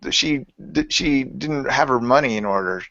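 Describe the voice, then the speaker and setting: A man's voice: mid-range voice, normal speed, creaky, pushed and bright. An American man on a casual panel talk, agreeable, offering short replies and polite counterpoints. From United States. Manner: agreeable, brief interjections, argues politely. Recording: dry, dull lo-fi audio.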